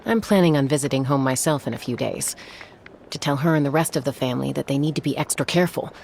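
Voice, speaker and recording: A woman's voice: very deep voice, quick pace, creaky, darkish tone, a little hiss or hum. An actress voicing a cool, caring female warrior in a fantasy game, with a laid-back, sober delivery. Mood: sober, warm.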